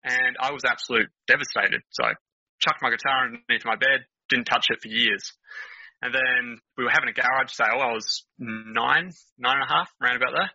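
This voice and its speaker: A man's voice: medium pitch, fairly quick, sharp tone, husky, dry recording, muffled recording. A man with an Australian accent, a podcast guest chatting informally and telling stories in a casual way. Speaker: podcast guest, counsellor and motivational speaker. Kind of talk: casual storytelling chat.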